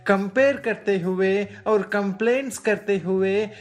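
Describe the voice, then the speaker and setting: Man voicing a slow, earnest young cartoon son. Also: sits high. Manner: earnest, explanatory. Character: clever, earnest eight-year-old son in an animated story. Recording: music behind the voice.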